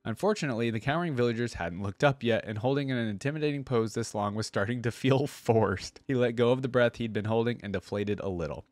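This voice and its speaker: A young man reading lore aloud and commenting casually, with expressive reading and conversational asides, close to a desk microphone. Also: mid-range voice, fast talker, hardly any pauses, no room sound. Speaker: reaction video host. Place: indoor streaming setup. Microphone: close desk microphone on a boom arm.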